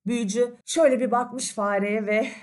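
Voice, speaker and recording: A woman storyteller narrating a children's fairy tale in an expressive, conversational way. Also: fairly high pitch, normal speed. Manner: animated, conversational, addresses listeners. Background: faint music.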